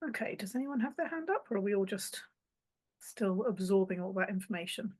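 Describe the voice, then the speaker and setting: A woman's voice: medium pitch, animated, brisk, darkish tone, breathy edge. Woman in her thirties hosting an online panel. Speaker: panel host. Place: home study with bookshelves. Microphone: laptop webcam on a video call.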